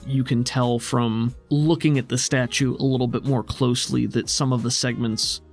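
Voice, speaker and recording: A man's voice: mid-range voice, fairly even intonation, moderate pace, faint music behind. A man narrating a tabletop role-playing game as game master, describing scenes in a conversational, explanatory way.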